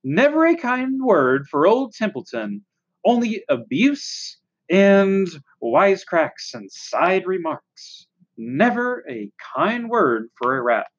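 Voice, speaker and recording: A woman's voice: on the low side, big pitch swings, slow, breathy edge, dull audio, full character voice. A woman voicing a lazy, grumbling male rat from a storybook, mocking and drawn out in complaint. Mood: mocking, aggrieved.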